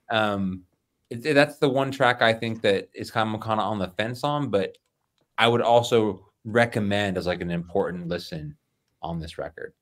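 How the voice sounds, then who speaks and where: Halting thirties man thinking aloud. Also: mid-range voice. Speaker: album review panelist. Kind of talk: casual music review chat. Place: small home room. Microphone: close desk microphone.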